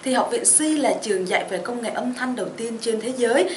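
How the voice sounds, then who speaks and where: A woman's voice: a little high, lively intonation, brisk, darkish tone. A young woman giving a scripted presentation to camera as an education consultant. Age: young adult. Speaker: study-abroad consultant presenting a promotional programme. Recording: echoey room with faint noise.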